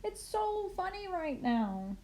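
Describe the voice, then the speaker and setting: A woman's voice: very high-pitched, very animated, slow pace, warm tone, pitched above the performer's own voice, exaggerated voice, poor audio. A woman voicing a cheeky, outspoken young boy with a protesting manner in a children's story. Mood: pleading. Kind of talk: children's picture story reading.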